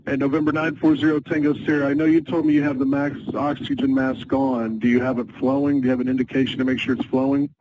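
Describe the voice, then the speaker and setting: A man speaks as an air traffic controller over aviation radio, calm and methodical. His delivery is fairly even, with little swing in pitch. The transmission is muffled and carries faint noise. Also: quick pace, hardly any pauses. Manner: calm, procedural, repeats instructions clearly.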